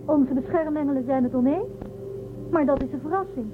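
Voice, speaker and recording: A woman's voice: sits high, fairly quick, noisy background, lightly acted. An actress playing a forthright, guarded female starship crew member in a radio drama. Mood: alert, brisk. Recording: tinny phone-like sound.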